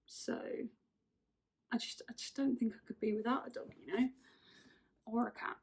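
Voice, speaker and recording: A woman's voice: on the high side, fairly even intonation, moderate pace, vocal fry, slightly rough. A woman in her twenties, chatting casually and rambling through get-to-know-me questions, often correcting herself. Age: 20s. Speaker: vlogger.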